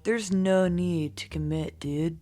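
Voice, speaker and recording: A woman voicing a mellow, drawling surfer-dude boy band member in an audio drama. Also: fairly low pitch, faint music behind. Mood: mellow, friendly. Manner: drawn-out slacker speech.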